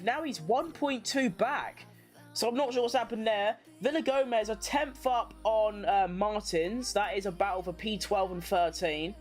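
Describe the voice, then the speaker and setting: Casual woman doing live race commentary. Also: on the high side. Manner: informal, slangy, thinking aloud. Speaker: livestream watchalong host.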